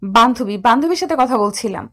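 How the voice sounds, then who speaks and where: A woman acts out a young daughter in a romantic audio drama. Her voice sits fairly high. She sounds timid and hesitant, with a pleading, defiant streak. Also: expressive, fairly quick. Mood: furtive, scared. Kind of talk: Bengali audio story acting.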